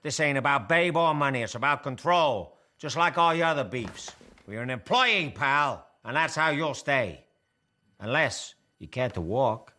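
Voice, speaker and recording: An actor playing a brash, sarcastic 1930s Hollywood studio boss in a period drama, with an American accent and slangy, bullying talk. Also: male voice, mid-range voice, expressive, measured pace, sharp tone. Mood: scornful, combative. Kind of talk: radio-play style period drama acting.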